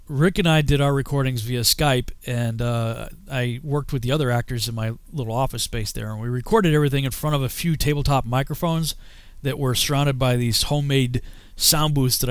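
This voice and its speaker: A man gives conversational documentary narration. His pitch is average for a man. The sound is very dry, with no room echo. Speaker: filmmaker and narrator.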